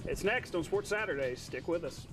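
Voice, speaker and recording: A man co-anchoring a TV sportscast, narrating highlights in an informal, jokey way with playful banter. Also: expressive, moderate pace, recorded from a distance, music in the background. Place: TV studio.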